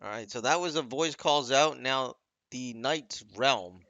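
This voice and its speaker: A man's voice: mid-range voice, a little slow, pushed and bright, no room sound. A man giving casual, off-the-cuff commentary on video game cutscenes in a critical, nitpicking manner. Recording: dull, lo-fi dry microphone recording.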